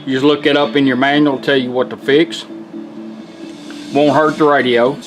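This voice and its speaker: A man's voice: medium pitch, little pitch movement, moderate pace. A man giving an informal, folksy, step-by-step product demonstration in a plain-spoken way. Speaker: vintage car radio restorer demonstrating a product. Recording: music from the demonstrated radio behind.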